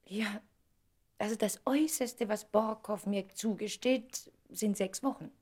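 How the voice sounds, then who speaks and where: An actress playing an emotional, impulsive Broadway star of twenty-nine in a radio play, with expressive, theatrical delivery. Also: female voice, mid-range voice, unhurried, natural voice. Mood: determined. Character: 29-year-old Broadway star, emotional, impulsive, devoted woman.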